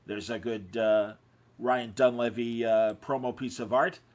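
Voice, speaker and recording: A man's voice: mid-range voice, steady tone, a little slow, slight background noise. A man hosting a podcast, chatting casually and effusively with his guests. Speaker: podcast host. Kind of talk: casual interview chat.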